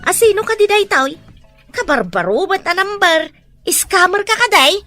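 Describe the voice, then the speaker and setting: A woman playing a nosy household nanny with a high, bright voice that swings up and down. It is close, dry audio drama acting with music underneath. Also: sing-song, normal speed, exaggerated voice. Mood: flustered.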